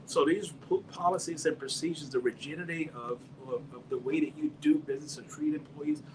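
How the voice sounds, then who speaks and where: A man's voice: a little high, very animated, fairly quick. A man speaking as a consultant guest, telling anecdotes casually in short, plain phrases. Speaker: guest, international business consultant. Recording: faint background ambient noise.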